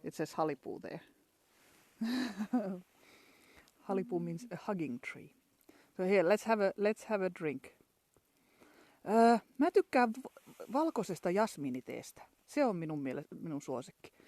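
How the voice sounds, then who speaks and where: A woman's voice: medium pitch, expressive, normal speed, pauses often, a little mellow, dry recording. A woman from Finland with a Finnish accent, chatting casually outdoors in a pine forest for a travel vlog and laughing often between restarts. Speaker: travel vlogger.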